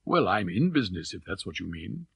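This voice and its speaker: A man's voice: low-pitched, very animated, creaky, slightly rough, dry recording, studio quality, voice pushed down, full character voice. A man voicing a bland, nervous young dinner guest in an audiobook, hesitant and baffled, with a British accent. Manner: hesitant, slow on the uptake.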